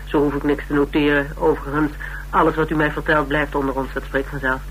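An actor playing a polite, inquisitive interviewer in a radio drama, probing with courteous, lightly amused questions. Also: male voice, fairly high pitch, flat delivery, fast talker, fairly bright tone, natural voice, poor audio. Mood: amused, businesslike. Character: polite, inquisitive male interviewer sizing up a recruit. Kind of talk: naturalistic Dutch radio drama acting. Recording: radio recording with background hiss and hum.

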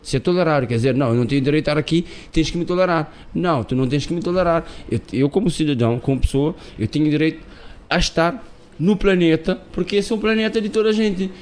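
A man's voice: fairly high pitch, fast, hardly any pauses, a little mellow. A man from Cape Verde, a guest on an interview, who talks emphatically and repeats words while searching for them. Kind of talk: interview conversation.

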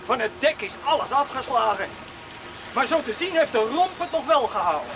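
A curious young lad in a radio drama, his voice very high. He is down-to-earth, questioning and bantering, heard against loud outdoor sound. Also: very animated, normal speed, background noise. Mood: sceptical, intrigued. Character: Sil, curious down-to-earth teenage boy, loyal friend. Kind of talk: Dutch radio play acting.

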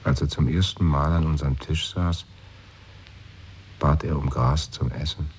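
A man's voice: extremely low pitch, fairly even intonation, measured pace, darkish tone, slight background noise. An actor in a radio play, playing a brooding man who muses aloud and often sinks into a whisper. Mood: wistful. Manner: musing, often drops to a whisper. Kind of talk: 1980s radio play acting.

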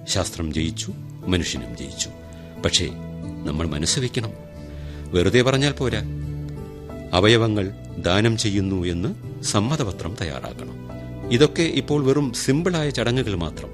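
A man's voice: fairly low pitch, big pitch swings, unhurried, long pauses, music in the background. An actor playing a wry man who talks straight to the audience in a radio drama monologue. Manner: wry, chatty and teasing with the listeners. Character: ironic middle-aged man who donates a kidney. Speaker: monologue narrator in a radio play. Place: radio studio.

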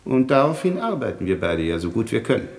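Worldly flattering music teacher, swoopy lively pitch, 1960s german radio play. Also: male voice, fast talker. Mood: cynical, amused. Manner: smooth-tongued, theatrical. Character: shrewd, flattering music master, money-minded and worldly. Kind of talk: 1960s German radio comedy stage acting.

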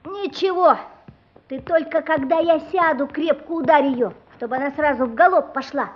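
A woman acting an impulsive, mischievous little boy in a Russian radio play, with excitable, insistent delivery. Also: sits high, moderate pace, muffled recording, slight background noise, natural voice. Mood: urgent, coaxing.

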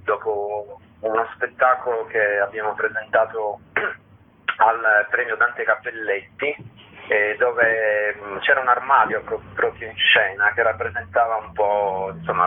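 A man's voice: on the low side, breathy edge. A man recounting his work as a guest in a radio interview. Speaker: theatre company guest. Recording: noisy, narrow telephone line.

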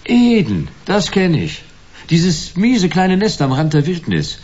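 An actor playing a wry, world-weary hard-boiled private detective in a German radio play, laconic and dry. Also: male voice, a little high, huge pitch swings, slow, darkish tone, muffled recording, full character voice. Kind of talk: German radio play noir acting and first-person narration.

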